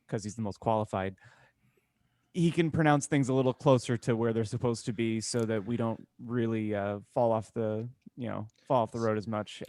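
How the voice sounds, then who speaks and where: A man's voice: fairly low pitch, a little mellow, dry recording, studio quality. A man co-hosting a podcast in casual chat, explaining things in a rambling, long-winded way. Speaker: podcast co-host.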